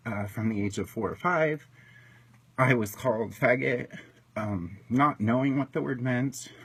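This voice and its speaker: Hesitant low-voiced man in his thirties. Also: fairly bright tone, breathy edge, rough recording. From Washington state, US. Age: 30s. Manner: halting, full of ums, emotional and candid. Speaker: vlogger telling his own story. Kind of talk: personal testimony to camera.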